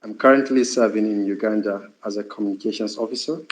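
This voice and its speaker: A man's voice: fairly low pitch, steady tone, fast talker, a little hiss or hum, low-quality recording. A man from Nigeria with a Nigerian accent, giving a formal self-introduction as a guest. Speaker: guest, communications officer.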